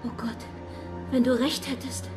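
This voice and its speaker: An actress playing a shy, earnest, devout young mountain girl, breathy and hushed, in a radio drama. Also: fairly high pitch, flat delivery, a little slow, over music, close to an everyday voice. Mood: alarmed.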